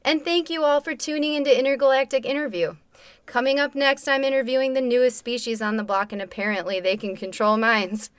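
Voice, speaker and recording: Showy female space-show host played by a woman, fairly high, emphatic presenter patter, radio comedy. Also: steady tone, a little fast, clean tone, dry recording, natural voice. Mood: upbeat, showy. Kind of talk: comedic radio theatre acting.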